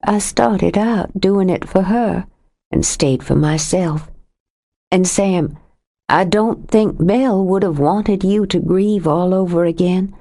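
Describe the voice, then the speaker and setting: Narrator voicing a steady, fond, blunt woman; low voice, unhurried. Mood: earnest. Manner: calm and firm, gently blunt. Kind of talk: audiobook character reading.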